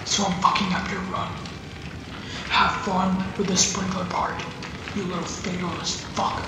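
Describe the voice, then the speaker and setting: A man's voice: high-pitched, little pitch movement, unhurried. A man voicing a bitter, vengeful tormented patient in a fan-made horror game line, theatrical and resentful. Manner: theatrical, resentful, foul-mouthed. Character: bitter vengeful burned patient turned into a thing. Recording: echoey reverberant room, faint noise.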